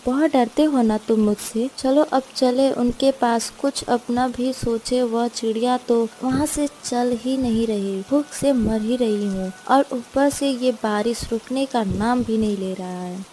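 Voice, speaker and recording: A woman's voice: fairly high pitch, fairly even intonation, a little slow, few pauses, dark tone, slight background noise, natural voice, poor audio. A woman voicing a grumpy, selfish female bird in a cartoon story, griping and sarcastic. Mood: annoyed.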